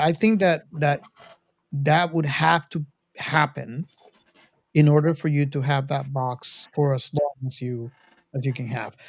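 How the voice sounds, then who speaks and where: Higher-pitched man chatting, dry sound. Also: gravelly, darkish tone, no room sound. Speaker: podcast host or panelist. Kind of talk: casual chat.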